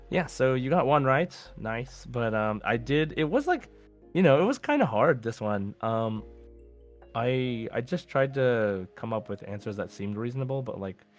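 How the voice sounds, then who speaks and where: A man co-hosting a podcast, chatting casually in a hesitant, rambling way. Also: medium pitch. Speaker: podcast co-host. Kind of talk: casual chat.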